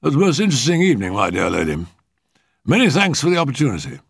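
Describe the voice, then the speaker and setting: Casting: genteel elderly uncle, acted by a male reader, pitched up, formal, brisk, a touch fussy, storybook. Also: sing-song, vocal fry, breathy edge, dry recording, clean studio sound, heavily acted. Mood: courteous. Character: courteous, upper-class older gentleman, a fussy uncle.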